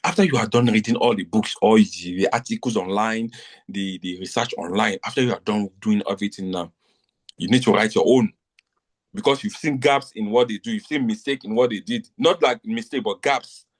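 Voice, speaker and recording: A man's voice: fairly low pitch, fairly quick. A middle-aged man from Nigeria tutoring a class in a live online lecture, repeating points and often asking whether students understand. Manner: repetitive, checks understanding often.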